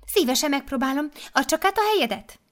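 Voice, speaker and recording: A doting, excitable mother superior with a bright, raised voice that swoops high with delight, as a woman reading the story puts her on. She gushes and commands in the same breath, all effusive praise. It sounds like a storybook read aloud. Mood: gushing.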